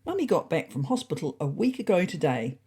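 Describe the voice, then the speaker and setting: An earnest, worrying house cat played by a woman confides in a diary. The voice is mellow and slightly low, measured and wistful, with lots of rise and fall. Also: sing-song, slightly rough, exaggerated voice. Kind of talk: first-person diary narration.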